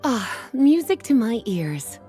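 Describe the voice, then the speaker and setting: A woman's voice: on the high side, very animated, takes it slowly, warm tone, faint music behind. A woman playing a languid, flirtatiously teasing librarian in a video game, coy in her delivery. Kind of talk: video game character dialogue.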